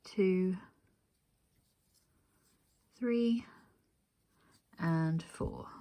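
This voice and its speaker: A woman's voice: on the low side, extremely slow, warm tone. Woman giving step-by-step craft instructions. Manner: explanatory, counting steps aloud. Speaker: crochet tutorial host.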